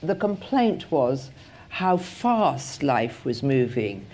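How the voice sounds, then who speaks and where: A woman chairing a festival panel discussion, talking in a halting, wry way with many pauses and restarts. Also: very deep voice, sing-song, dark tone. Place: festival stage.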